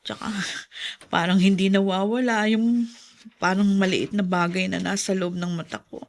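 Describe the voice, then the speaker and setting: A woman's voice: medium pitch, fairly even intonation, normal speed, lightly acted. An actress playing an emotional, anxious middle-aged mother who is losing her sight, in a Filipino radio drama. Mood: pained, worried. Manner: emotional, pleading.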